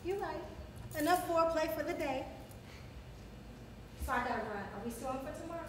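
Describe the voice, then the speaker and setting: A woman's voice: high-pitched, lively intonation, fairly quick. Woman acting blunt teasing girlfriend, stage rehearsal, very echoey, faint noise. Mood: sassy. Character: sassy, independent single girlfriend, teasing and blunt. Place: rehearsal room with stage, indoors. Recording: very echoey hall, distant mic, faint noise.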